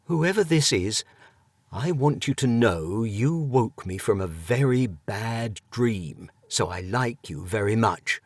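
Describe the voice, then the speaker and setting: A male narrator voicing a timid man who has just woken, with a husky throat. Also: on the low side, animated, takes it slowly, dry recording. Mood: groggy.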